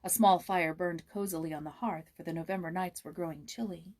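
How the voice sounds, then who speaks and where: A woman narrating a children's classic aloud as an expressive audiobook reader. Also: fairly low pitch, fairly quick.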